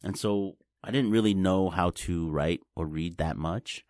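A man, raised in New after being born in Taiwan. He talks as an interview guest, explaining simply and haltingly, often repeating words as he goes. The sound is dry and close. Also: low-pitched, a little mellow, no echo. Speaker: guest, blockchain company representative. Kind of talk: casual interview chat.

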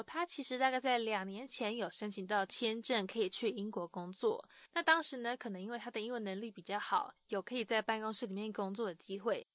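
A woman's voice: mid-range voice, fairly bright tone, dry recording. A woman host narrating a summary of an interview for listeners in a friendly, explanatory way. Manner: friendly explanatory tone with sentence-final particles. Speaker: podcast host summarizing in Mandarin. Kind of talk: scripted narration.

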